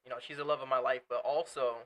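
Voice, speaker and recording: A man's voice: mid-range voice, level tone, fast, a bit bright. A young man from Portugal, a native Portuguese speaker, chatting earnestly as a guest about his business and family, often restarting his words. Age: young adult. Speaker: guest, entrepreneur. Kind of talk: casual interview chat.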